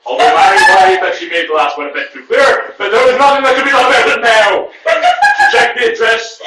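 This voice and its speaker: A man reading prose aloud haltingly, breaking off for exasperated, self-correcting asides. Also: very high voice, wildly swooping pitch, bright, forceful tone. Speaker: reader at a writers' group reading. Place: echoey room with a group present. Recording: echoey room, distant from the mic.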